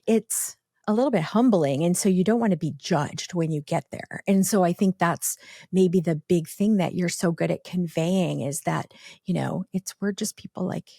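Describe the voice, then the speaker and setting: A woman's voice: on the low side, normal speed, vocal fry, mellow, no room sound, studio quality. A middle-aged woman hosting a casual podcast interview, chatty and full of fillers. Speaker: podcast host.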